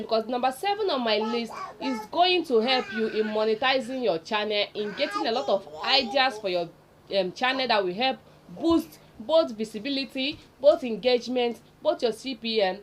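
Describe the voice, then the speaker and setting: A woman with a Nigerian accent hosting a casual, unscripted tutorial, repeating points for emphasis and speaking directly to viewers. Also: fairly high pitch. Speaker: video tutorial host. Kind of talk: casual unscripted explainer listicle.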